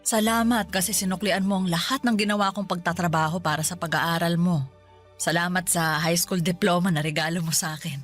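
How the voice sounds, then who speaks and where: A woman's voice: lowish voice, fairly even intonation, a little fast, lightly acted. A woman acting as a devoted, reassuring middle-aged mother in a radio drama. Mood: tender, grateful. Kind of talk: Tagalog radio drama dialogue. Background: background music.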